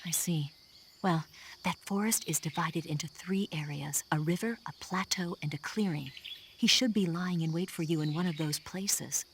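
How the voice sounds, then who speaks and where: An actress playing a female spy giving a soft, steady briefing over radio in a game. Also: lowish voice, moderate pace, a little hiss or hum.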